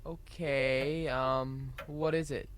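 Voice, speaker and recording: A man's voice: medium pitch, flat delivery, very slow. A male actor playing a polite, curious schoolboy in an audio drama, earnest and casual with slight hesitation. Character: polite, curious homeschooled schoolboy researching a report. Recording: clearly noisy background with clicks.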